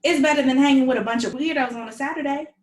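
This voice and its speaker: Woman acting a perky, high-voiced, pushy young mom. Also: very fast, natural voice. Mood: wheedling. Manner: perky, persuasive. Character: Tiffany, breezy, pushy young mother wheedling her friend. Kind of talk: screenplay table read. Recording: roomy, echoing sound.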